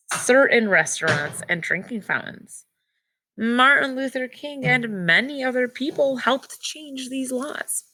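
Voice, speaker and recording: Woman with creaky voice reading to children. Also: a little high, a bit bright. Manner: simple, child-directed explaining with short phrases. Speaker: teacher reading a picture book aloud to children. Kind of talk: read-aloud storytelling with simple explanatory asides.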